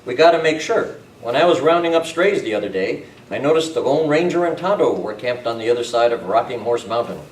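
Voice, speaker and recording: A man's voice: medium pitch, animated, fairly quick, hardly any pauses, lightly acted. A man playing a fatherly, folksy cowboy hero in an amateur old-time radio western. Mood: musing. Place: club meeting room, far camera. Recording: roomy, distant camera sound with hiss.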